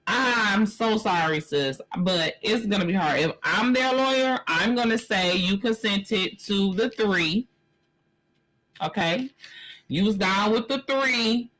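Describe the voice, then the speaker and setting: A woman in her forties hosting a live stream, talking in a casual, blunt way. Her pitch sits in a middle range, and she leans hard on her points while addressing the viewer directly as "sis". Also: fairly bright tone, low-quality recording. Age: 40s. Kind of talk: casual commentary on a news story.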